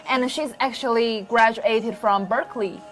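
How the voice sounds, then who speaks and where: A woman's voice: on the high side, fairly even intonation, moderate pace, low-quality recording. A woman from China hosting a casual chat and quizzing her friends with a laugh. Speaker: host.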